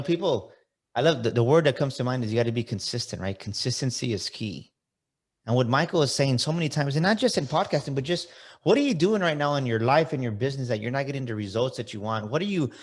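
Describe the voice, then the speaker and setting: A man's voice: mid-range voice, animated, quick pace. A man in his forties hosting a podcast interview, speaking fervently and repeating phrases for emphasis. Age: 40s. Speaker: podcast host. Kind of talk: motivational interview talk.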